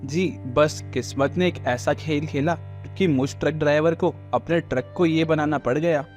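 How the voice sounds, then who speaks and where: Cartoon husband male actor: earnest, colloquial truck driver turned cook, slightly raised pitch, light music underneath. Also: unhurried, lightly acted. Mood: polite, humble. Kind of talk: Hindi cartoon story dubbing.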